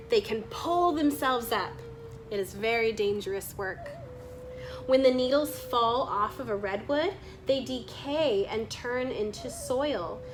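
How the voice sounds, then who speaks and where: A woman reading a nature book aloud in a measured, deliberate narration style. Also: on the high side. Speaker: reader reading a children's nonfiction book aloud.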